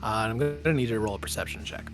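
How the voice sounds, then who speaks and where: A man's voice: lowish voice, very fast, a little hiss or hum. A man running a tabletop game as game master, casually narrating scenes and calling for rolls.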